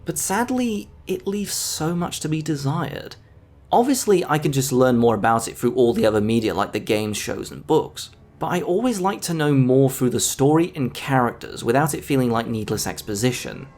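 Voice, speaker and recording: Man narrating with lively, varied pitch. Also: moderate pace. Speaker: video essayist, host. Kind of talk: scripted video essay narration, film review.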